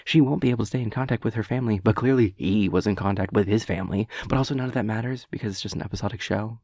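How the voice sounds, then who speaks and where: A man's voice: medium pitch, a little mellow, dry recording, clean studio sound, natural voice. Man acting a flustered, stammering boyfriend with crackly voice. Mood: rambling, pedantic. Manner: stammering, flustered, rambling with halting hesitations. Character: chaotic, nervous, evasive gremlin of a boyfriend. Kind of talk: improvised-sounding roleplay audio monologue.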